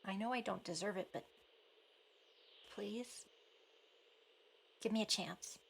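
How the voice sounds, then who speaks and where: A guarded, conflicted young woman in a radio drama. Her voice is creaky and halting, blunt when rattled, with faint hiss behind it. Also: medium pitch. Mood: tentative. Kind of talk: naturalistic radio play acting.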